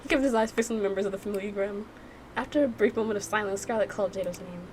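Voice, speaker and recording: A woman's voice: medium pitch, rapid-fire, darkish tone, a little hiss or hum. A woman hosting a gaming channel, casually reacting and narrating with playful, chatty asides. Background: music.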